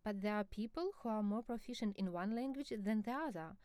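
Mellow woman explaining in measured tones. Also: mid-range voice, smooth, no echo. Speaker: co-presenter speaking as the subject expert. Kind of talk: scripted educational dialogue.

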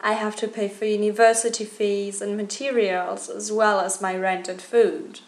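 A woman's voice: medium pitch, level tone, normal speed. Practical young woman in an echoey room. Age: 20s. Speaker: student guest. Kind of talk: scripted panel discussion.

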